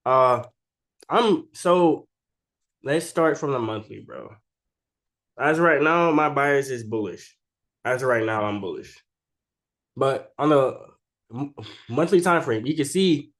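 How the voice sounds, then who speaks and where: A man's voice: medium pitch, animated, slow pace, long pauses. A man who is sick with a cold gives casual, unscripted chart commentary as a trading video creator, talking informally with slang and frequent check-in tags. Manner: informal and slangy, keeps tagging statements with "right?".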